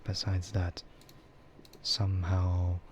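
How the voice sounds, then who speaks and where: A man streaming soft, breathy ASMR chat, hesitant and unhurried, often dropping into a whisper. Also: low voice, monotone. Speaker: streamer, VTuber. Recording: muffled, lo-fi, clearly noisy.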